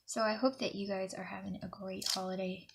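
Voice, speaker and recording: A sniffly woman with a gravelly, creaky edge chats her way through a crafting session, rambling and slipping into soft near-whispers. She is recorded at home with steady clicks and noise in the background. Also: mid-range voice, a little fast. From Alabama, USA. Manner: chatty, rambling, drops to soft near-whispers while working. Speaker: planner vlogger. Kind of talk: casual crafting talk to camera.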